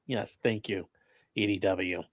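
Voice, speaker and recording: A man's voice: lowish voice, fairly even intonation, takes it slowly, no room sound. A man hosting a live stream, reading out viewer questions and giving casual legal commentary with wry, joking asides.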